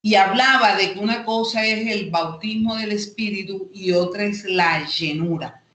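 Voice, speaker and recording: A woman with a Colombian Caribbean coast accent teaching an online Bible lesson, explaining haltingly and repeating phrases as she goes. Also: mid-range voice, fairly bright tone. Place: indoor room, online class. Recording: echoey room, online call audio.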